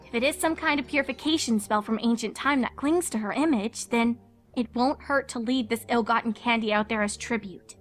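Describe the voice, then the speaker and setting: A woman's voice: fairly high pitch, brisk, close to an everyday voice. A woman voicing a serious, studious young female cartoon unicorn pony who explains things earnestly, in a dramatised fan fiction reading. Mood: stern, uneasy. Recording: music under the voice.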